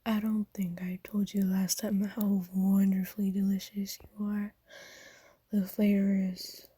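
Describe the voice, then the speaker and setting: A doting aristocratic vampire mistress, played by a woman for an ASMR roleplay. She speaks softly, often in a half whisper, at a low-key, unhurried pace with very little rise and fall. Her tone is dark and velvety, gently formal and coaxing, recorded close and dry. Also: little pitch movement, husky, no room sound. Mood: savoring, sensual. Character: kind, doting aristocratic female vampire owner.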